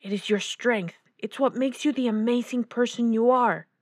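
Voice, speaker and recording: Woman voicing a gentle, encouraging uncle. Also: a little high, normal speed, no echo, studio quality, close to an everyday voice. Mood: tender, encouraging. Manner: tender, reassuring, mixes in Spanish endearments.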